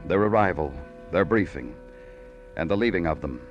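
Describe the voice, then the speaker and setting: An actor playing a world-weary, poetic police detective in an old radio crime drama, speaking in clipped, lyrical phrases. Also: male voice, low-pitched, lively intonation, normal speed, dull audio. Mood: brooding. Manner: clipped, brooding, lyrical narration. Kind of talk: 1940s-50s radio noir acting. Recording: old radio recording with music.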